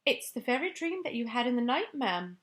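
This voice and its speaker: A woman's voice: a little high, big pitch swings, a little fast, pitched above the performer's own voice. A woman voicing a timid middle-aged maid who speaks respectfully and hesitantly. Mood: nervous. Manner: respectful, hesitant, repeats "ma'am".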